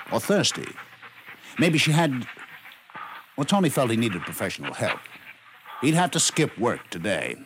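Expressive 1950s radio storyteller, a man. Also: mid-range voice. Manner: measured, dramatic pauses, rhetorical questions. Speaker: narrator. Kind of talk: 1950s radio documentary storytelling, scripted narration. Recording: noisy 1950s radio broadcast recording.

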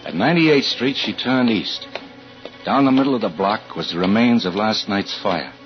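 Low male American, actor playing anxious, suspicious husband narrator, deliberate 1940s radio suspense style, dulled vintage recording. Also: highs cut off, soft background music, lightly acted. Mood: grim, tense.

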